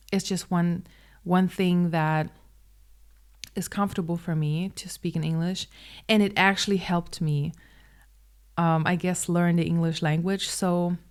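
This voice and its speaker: A woman's voice: on the low side, darkish tone, clear, resonant tone. A woman in her twenties, a native German speaker, talking earnestly to camera in a casual personal vlog with frequent ums. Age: 20s. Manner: hesitant, many ums, open and earnest. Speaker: vlogger.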